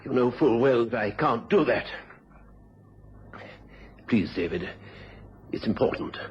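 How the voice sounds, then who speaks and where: An actor playing a genteel but stern country squire in a radio drama, courteous and hesitant, with polite hems. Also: male voice, medium pitch, expressive, measured pace, close to an everyday voice. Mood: stern, uneasy. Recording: dull, noisy old radio recording.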